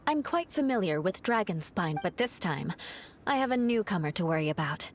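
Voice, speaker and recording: A woman's voice: on the low side, sing-song, normal speed, a little hiss or hum. An actress voicing a proud, competitive young woman knight in a video game, with a poised, formal, cool manner. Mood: composed, determined.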